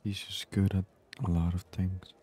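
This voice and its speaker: Male gaming host for sleep ASMR: murmured, very flat intonation, husky voice, unhurried. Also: sits low. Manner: murmured, unhurried, reading on-screen text aloud. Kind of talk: soft-spoken ASMR game commentary.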